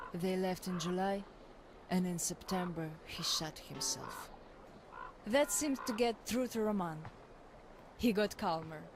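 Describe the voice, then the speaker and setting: A woman's voice: mid-range voice, slight background noise, lightly acted. A woman playing a tough, wistful young fighter. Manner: wistful, frank. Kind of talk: in-game monologue.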